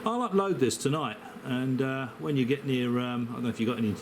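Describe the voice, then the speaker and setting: A man's voice: medium pitch, brisk. An Australian man chatting casually as an amateur radio operator, with a hesitant, rambling, matey delivery. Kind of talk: casual radio contact chat. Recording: steady background noise, radio hiss.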